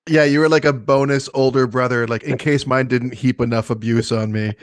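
A man's voice: mid-range voice, fairly even intonation, brisk, no echo. A middle-aged man from Michigan with an American accent, hosting a podcast in a casual conversational style. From Michigan, United States. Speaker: podcast host. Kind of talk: casual chat.

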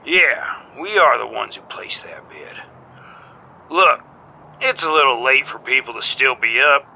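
A man's voice: mid-range voice, expressive, a little slow, vocal fry, bright, forceful tone, heavily acted, rough recording. A man playing a terse, pushy militia member arranging a shady night deal in an audio drama. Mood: curt, guarded.

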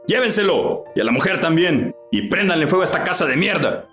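A man's voice: a little high, animated, a little fast, fairly bright tone, soft background music. A man playing a brutal, foul-mouthed, menacing army captain in a radio drama. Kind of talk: amateur radio drama acting. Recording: roomy, echoing voice track.

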